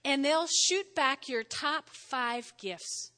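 A woman pastor with a New Jersey accent, preaching a sermon in a lively, conversational way and often checking in with "all right?". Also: high voice, slow. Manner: lively, spells words out, frequent "all right?" check-ins. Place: church sanctuary. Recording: long hall reverb.

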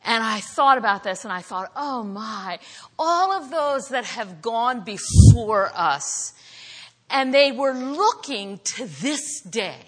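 A woman preaching a sermon in a church, with a naturally loud, earnest voice that she says hardly needs the microphone. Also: a little high, big pitch swings, slow pace. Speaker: guest preacher. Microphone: handheld or stand microphone. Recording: church hall reverb.

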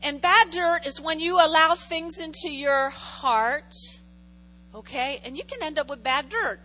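A woman's voice: high voice, measured pace, pushed and bright, slight background noise, poor audio. A woman pastor preaching a sermon in a conversational way, repeating key phrases for emphasis and making jokey asides to the congregation.

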